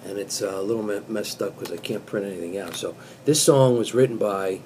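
A man in his fifties reciting in a deliberate, mock-serious way with casual asides, recorded in a small room on a webcam. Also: on the low side, warm tone, a little hiss or hum. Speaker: comic host reading song lyrics as poetry. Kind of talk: dramatic spoken recitation of pop lyrics with casual asides.

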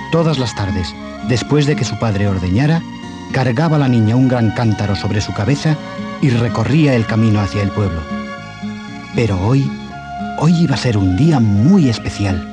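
Mid-pitched Castilian male storyteller over music. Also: lively intonation, moderate pace. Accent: Castilian Spanish. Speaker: narrator. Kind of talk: scripted children's story narration. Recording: 1980s cassette, music behind.

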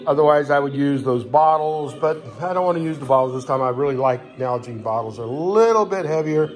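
Male hiker, slightly high voice, folksy and matter-of-fact, talking through gear off the cuff at home into a phone. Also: fairly quick. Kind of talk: casual unscripted gear walkthrough. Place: indoors in a home living room. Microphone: handheld phone camera, speaker behind it.

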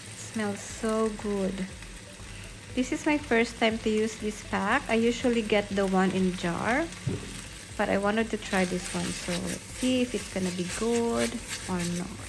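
Woman talking thru her recipe real slow, kitchen sizzle in the background. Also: mid-range voice. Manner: slow, unhurried step-by-step narration. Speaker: home cook vlogger. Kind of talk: cooking voice-over. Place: home kitchen, food sizzling in pan. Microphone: voice-over. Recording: audible kitchen noise behind.

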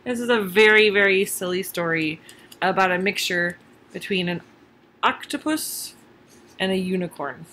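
A woman's voice: mid-range voice, moderate pace, a bit bright. A woman in her thirties casually presenting a read-aloud, speaking expressively and encouragingly to children. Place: small furnished room at home.

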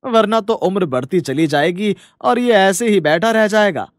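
A man's voice: high-pitched, expressive, moderate pace, no echo, studio quality. A man voicing an impatient village father of a groom in a cartoon, talking in a firm, pressing way.

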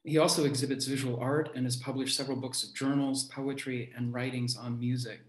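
Male host, fifties, even delivery, roomy video-call sound, making introductions. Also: mid-range voice, fairly quick. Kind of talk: event introduction, part read and part informal. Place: room at home, indoors.

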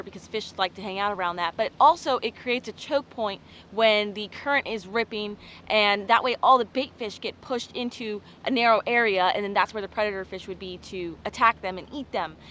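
A woman's voice: medium pitch, fairly quick, hardly any pauses, a bit bright, slight background noise. A young woman vlogging from a small boat outdoors, chatting to viewers as she explains. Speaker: fishing vlogger. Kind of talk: casual fishing vlog.

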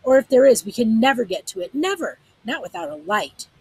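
A woman reads out a shaken, long-faced clerk of a man, his voice weak and trembly as the words tumble out almost without a breath. The voice sits high and strained, leaping up and down through the sentences, with a husky, breathy edge. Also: sing-song, dry recording, heavily acted, poor audio. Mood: shouting. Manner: talks very fast, hardly pausing for breath. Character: frightened rambling grown man, worried clerk.

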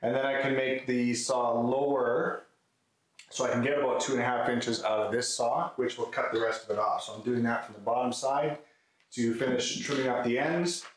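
A man's voice: medium pitch, steady tone, moderate pace. Man in an echoey garage workshop. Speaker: woodworking video host. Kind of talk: casual build walkthrough, explaining to camera. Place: concrete-floored garage workshop, indoors. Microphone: camera mic a few metres away. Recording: echoey garage, faint background noise.

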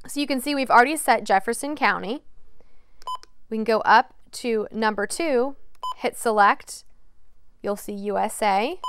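A young woman, a TV meteorologist, giving a clear step-by-step product demonstration. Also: a little high, slight background noise. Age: young adult. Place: TV weather studio.

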